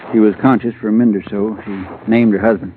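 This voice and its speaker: Actor as gruff sheriff, low voice, old radio. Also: male voice, steady tone, quick pace, natural voice. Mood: grim, blunt. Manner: terse, sardonic. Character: gruff, blunt male county sheriff. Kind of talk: 1950s radio drama acting. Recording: tinny vintage radio recording, faint noise.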